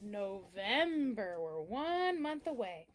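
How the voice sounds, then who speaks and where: A woman reading a children's picture book aloud as a storyteller, in an expressive, animated way. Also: medium pitch, slow pace. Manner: animated, acting out letters.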